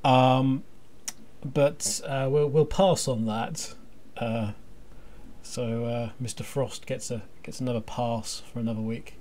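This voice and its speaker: A man's voice: mid-range voice, steady tone, slow, a little mellow, slight background noise. A man hosting a casual fan commentary chat in a jokey, playfully exaggerating manner. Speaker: podcast host.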